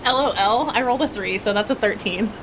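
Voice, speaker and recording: Female gamer, mid-pitched, informal and playful table talk, light rumble behind her. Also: quick pace, a bit bright, poor audio. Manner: playful, chatty. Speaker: tabletop game player. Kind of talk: casual game-table chat.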